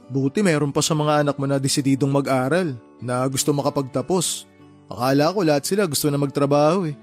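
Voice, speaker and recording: A man's voice: on the high side, brisk, soft background music, natural voice. An actor playing a candid younger brother in his thirties who chides his sister in a podcast drama. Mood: concerned. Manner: candid, chiding. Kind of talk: Filipino podcast radio drama dialogue.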